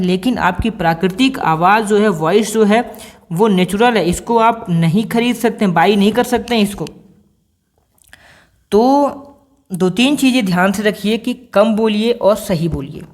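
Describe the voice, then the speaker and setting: A man giving a spoken singing lesson, patient and explanatory, repeating key points and posing rhetorical questions to his students. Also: high-pitched. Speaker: singing teacher.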